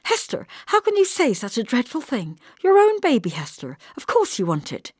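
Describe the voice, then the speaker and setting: A woman voicing a sensible, concerned young woman in an audiobook, earnest and gently chiding. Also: fairly high pitch, huge pitch swings, moderate pace, dry recording, clean studio sound, pitched above the performer's own voice. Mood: shocked. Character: sensible, concerned young woman visiting her friend, reassuring.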